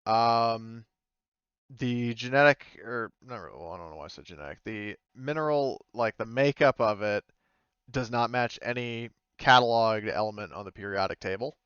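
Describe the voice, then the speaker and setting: A dry, slightly muffled recording of a man with a smooth, clean voice. He is a game master improvising descriptions, tentatively rewording as he goes. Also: mid-range voice, normal speed, fairly bright tone, no room sound. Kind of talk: improvised tabletop roleplay narration.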